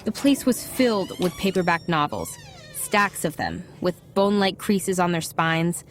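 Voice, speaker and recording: A woman's voice: lowish voice, unhurried, soft background music, lightly acted. A woman playing a troubled, bookish American schoolgirl who narrates her story reflectively in an audio drama. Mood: reflective, confiding. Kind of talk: scripted first-person drama narration.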